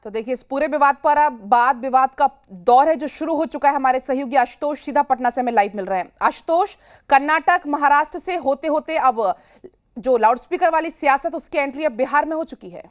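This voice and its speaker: High-pitched woman anchoring television news. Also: fairly quick.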